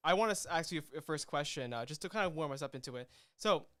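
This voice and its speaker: A man hosting a casual livestream interview, speaking in a halting, rambling way as he works toward his questions. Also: fairly high pitch, lively intonation, fairly bright tone. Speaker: host and game master.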